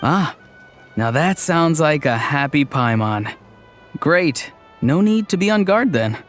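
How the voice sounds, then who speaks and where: An actor playing a teasing, mischievous young man in a video game, delivering lines with deadpan, mock-grand seriousness. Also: on the high side, slow pace. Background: faint game background music.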